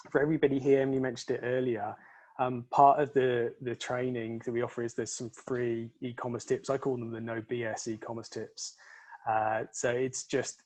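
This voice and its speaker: A man's voice: mid-range voice, darkish tone. Earnest man presenting slides on a call. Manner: earnest, restarts words often. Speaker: panel speaker, e-commerce trainer. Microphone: video call.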